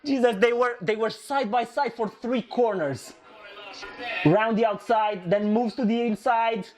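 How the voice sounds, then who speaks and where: Hoarse excitable man reacting to a race. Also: very high voice, measured pace. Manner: excitable, shouting at overtakes, repeating phrases. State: hoarse, losing his voice. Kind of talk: live race reaction commentary.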